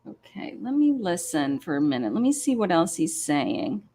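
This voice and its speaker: A woman hosting a live psychic reading from her patio, talking slowly with many pauses and fillers as she thinks aloud. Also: lowish voice, big pitch swings, mellow, slight background noise. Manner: slow, hesitant, many ums, thinking aloud. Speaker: psychic medium host. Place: home patio.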